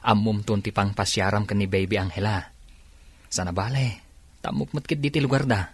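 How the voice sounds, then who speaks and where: Low-voiced, reassuring Filipino husband, acted by a man. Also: steady tone, moderate pace, husky, no echo. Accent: Filipino, Ilocano. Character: attentive husband gently reassuring his grieving wife. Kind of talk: Ilocano radio drama acting.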